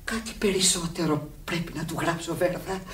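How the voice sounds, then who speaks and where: An actress playing a practical, worried woman in a radio drama, coaxing and insisting in a measured way. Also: fairly low pitch, fairly even intonation, a bit bright, natural voice. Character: firm, practical, worried madam tending a sick woman. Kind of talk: dramatic Greek radio play acting. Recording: echoey, distant, hissy old radio recording.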